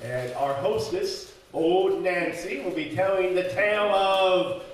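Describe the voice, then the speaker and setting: High-voiced showy male emcee in echoey hall. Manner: showy, jokey, drawn-out greetings and repeats. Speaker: radio show host. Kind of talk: live old-time radio show emceeing. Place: community center hall, live audience. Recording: echoey hall, distant mic, audience noise.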